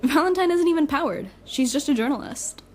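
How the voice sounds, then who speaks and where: Woman acting brash superhero gal, kinda high voice, sweary teasing but encouraging, audio drama. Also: lively intonation, fairly quick. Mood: reassuring, teasing. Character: Scarlet, brash, encouraging superpowered party host.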